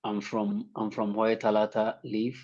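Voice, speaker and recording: A man from Ghana offering a prayer and warm advice as a panelist on a livestream call. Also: lowish voice, level tone, muffled recording. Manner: earnest, slow, preacher-like phrasing. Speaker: guest panelist. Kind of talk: prayer and well-wishing on a livestream.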